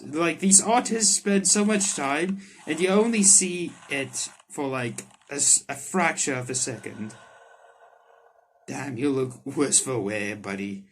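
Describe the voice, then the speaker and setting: A man's voice: mid-range voice, a little hiss or hum. A man hosting a gaming video, reading visual novel text aloud and commenting on it in informal, slangy language. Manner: informal, slangy, swearing, reacts aloud to what he reads. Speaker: gaming YouTuber, host.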